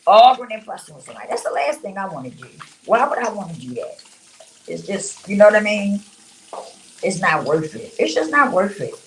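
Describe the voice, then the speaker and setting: A woman's voice: medium pitch, very animated, brisk, far from the mic, a little hiss or hum. A woman in her fifties talking bluntly and casually on a livestream, swearing freely and laughing often. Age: 50s. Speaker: livestream host. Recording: roomy sound, speaking away from the mic.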